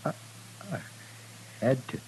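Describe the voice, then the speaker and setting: An American actor playing a broken, desperate gunman in a Western radio drama, with halting, stammering delivery. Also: a little mellow, natural voice. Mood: broken, pleading. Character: broken, desperate drunken gunman who lost his nerve. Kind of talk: 1950s Western radio acting. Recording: humming, noisy old radio recording.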